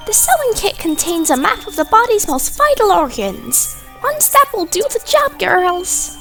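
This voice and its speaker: A very high, wildly swooping cartoon mascot bear. It is gleeful and sinister, delivering announcements with sing-song, mock-polite menace. Music plays behind it. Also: fairly bright tone, full character voice. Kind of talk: cartoonish game fandub announcement.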